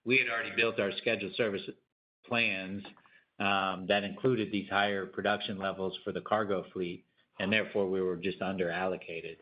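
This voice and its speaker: Low-voiced man on a dull conference line. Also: level tone, moderate pace. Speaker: airline executive answering analyst questions. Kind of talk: earnings call answers, unscripted. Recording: dull, band-limited conference call audio.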